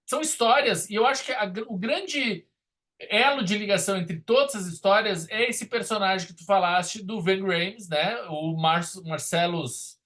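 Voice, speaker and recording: High, bright-voiced man. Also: normal speed. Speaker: film podcast host. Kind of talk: casual film discussion.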